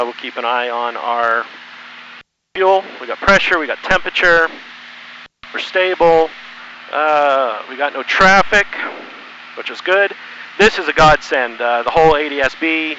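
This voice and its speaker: Sharp, bright middle-aged man on cockpit headset. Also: medium pitch, lo-fi sound. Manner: halting, thinking aloud to himself. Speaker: student pilot flying solo, narrating for video. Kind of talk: casual running commentary while flying. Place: cockpit of a small plane in flight. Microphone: aviation headset. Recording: buzzy band-limited headset audio with engine noise.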